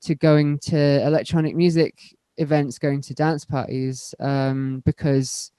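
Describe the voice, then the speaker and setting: Candid fast-stumbling young woman. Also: low voice, little pitch movement, warm tone, smooth, no echo. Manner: rapid, stumbling, repeating words, candid. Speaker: panel guest, electronic musician. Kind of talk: panel discussion.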